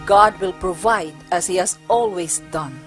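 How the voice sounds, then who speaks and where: Woman playing a devout, slow-spoken nun over music. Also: on the low side, close to an everyday voice. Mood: calm resolve. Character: devout, steadfast Spanish nun and convent foundress, motherly but firm. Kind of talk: amateur stage play acting.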